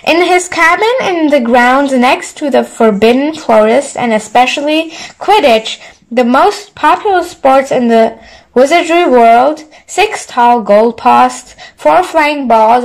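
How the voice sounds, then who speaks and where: A woman's voice: high voice, lively intonation, slow, poor audio. A woman storyteller narrating a bedtime story, reading it aloud from a book. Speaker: storyteller, channel host.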